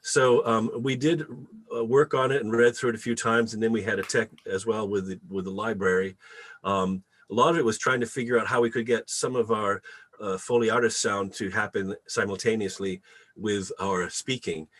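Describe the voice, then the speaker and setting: A man casually hosting a post-show discussion on a video call, halting a little as he searches for words. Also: lowish voice, steady tone, fairly bright tone. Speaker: theatre company host of an online event. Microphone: video call microphone.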